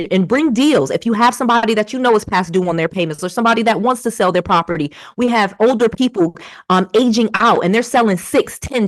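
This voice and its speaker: A woman's voice: mid-range voice, no echo. An American woman in her forties from Connecticut talking casually and emphatically, coaching and motivating listeners on a livestream, persuasive and fond of repeating key phrases. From Connecticut, United States. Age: 40s. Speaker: real estate investor and coach hosting a livestream.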